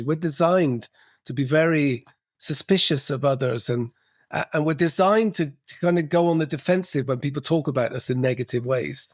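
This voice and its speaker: A man's voice: a little high, no echo, studio quality. A middle-aged man, talking reflectively as a podcast guest with frequent restarts. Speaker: podcast guest, cultural coach. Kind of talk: casual interview conversation.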